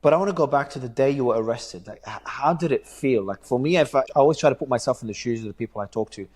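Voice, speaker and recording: A man's voice: mid-range voice, fast, a little mellow. Earnest man hosting an interview. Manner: earnest, searching, with hesitant restarts. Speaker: interview host. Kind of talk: conversational interview questions.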